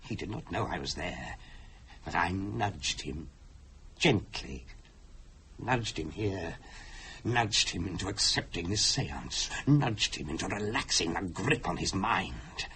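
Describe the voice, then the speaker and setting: A sinister possessing spirit, gloats in a slow, theatrical radio-drama manner. The voice is low and sharp-edged, swinging widely in pitch with deliberate menace. There is faint noise behind it. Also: male voice, lively intonation, heavily acted. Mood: gloating menace. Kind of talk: radio horror drama acting.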